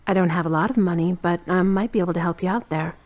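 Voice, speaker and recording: A woman's voice: lowish voice, fast talker, darkish tone, close to an everyday voice. A woman playing a kind, skeptical, teasing friend in an amateur audio drama, candid and wry. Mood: supportive.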